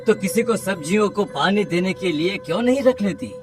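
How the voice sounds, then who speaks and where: A man's voice: high-pitched, animated, moderate pace, over music. Man playing a confident, crafty village hero. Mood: sly. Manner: confident, persuasive. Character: clever, resolute village man scheming against a witch. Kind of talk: cartoon voice acting.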